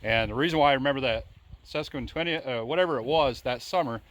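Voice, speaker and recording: A man's voice: mid-range voice, fast, fairly bright tone. A man in his forties with a Midwestern American accent, chatting casually as a vlogger outdoors. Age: 40s. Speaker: vlogger interviewing a friend. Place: outdoors at an old rural bridge.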